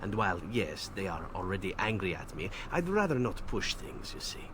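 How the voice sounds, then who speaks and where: An actor playing a suave, playful elven assassin in a video game, with a smooth, teasing, theatrical delivery. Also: male voice, deep voice, very animated, normal speed, noisy background. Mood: sly, coy.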